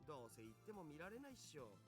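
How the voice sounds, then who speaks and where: An actor playing an excitable geeky young otaku hacker with slangy speech in an anime-style visual novel. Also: male voice, on the high side, very fast, music in the background. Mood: geeky, eager. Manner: slangy, geeky speech with quirky sentence endings.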